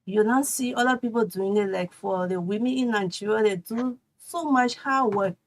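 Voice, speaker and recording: Liberian woman, steady interview guest. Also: mid-range voice, normal speed, rough recording. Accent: Liberian English. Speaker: culinary instructor guest. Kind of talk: casual interview answers.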